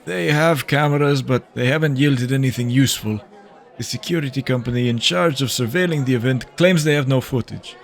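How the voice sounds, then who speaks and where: A man playing a measured senior detective who mentors a younger colleague in a science fiction audio drama. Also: mid-range voice, creaky, natural voice. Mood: matter-of-fact.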